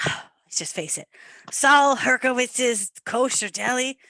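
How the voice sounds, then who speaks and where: A high, sharp-toned, eager sidekick, played by a woman in a comic radio play. The character chatters and wheedles insistently at a mobster, recorded close and dry. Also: takes it slowly, gravelly, dry recording, exaggerated voice.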